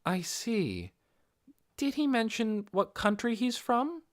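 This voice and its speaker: Man acting curious young fantasy lad, raised pitch, swooping intonation, wide-eyed, storybook dialogue. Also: very animated, slow pace, exaggerated voice. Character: eager, curious, impressionable young male potion maker.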